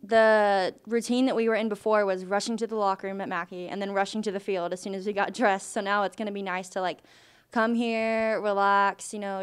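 Woman, 20s, college athlete, resonant smooth voice, chatty, upbeat. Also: medium pitch. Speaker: college softball player. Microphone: handheld mic.

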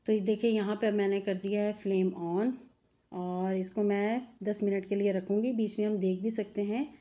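A mother narrating a home cooking video, speaking to her viewers in a chatty, homely way. Her voice has a mellow, warm tone at a middle pitch, relaxed and unhurried. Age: adult mother. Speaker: cooking channel host. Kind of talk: home cooking tutorial voice-over.

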